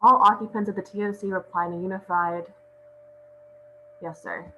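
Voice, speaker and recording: A woman's voice: medium pitch, fairly even intonation, moderate pace, sharp tone. A woman reading out stage directions as scripted narration at a table read. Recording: muffled audio with clear hum.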